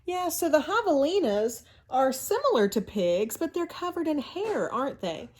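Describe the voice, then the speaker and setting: A woman reading a children's story aloud in an expressive, teacherly way, pausing for asides and questions to young listeners. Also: high voice. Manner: expressive, teacherly, often asks rhetorical questions.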